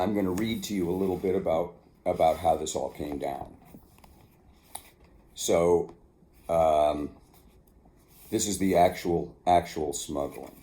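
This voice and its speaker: Low-voiced older man, dark and mellow. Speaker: author narrating own memoir. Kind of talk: casual storytelling, then reading aloud from a book.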